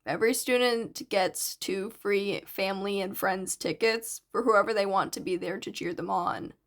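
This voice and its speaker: A woman voicing a shy, soft-spoken teenage schoolboy with a hesitant, subdued manner in an audiobook. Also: medium pitch, moderate pace, voice pushed down, close to an everyday voice. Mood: quietly earnest. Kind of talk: audiobook character dialogue.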